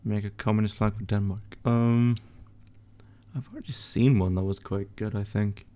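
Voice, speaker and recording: A man's voice: on the low side, fairly even intonation, normal speed, darkish tone. Offhand young man on a livestream. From Sweden. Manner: offhand, mumbling, swears under his breath, trails off while working. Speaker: livestream host answering chat questions. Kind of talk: casual livestream chat while editing images.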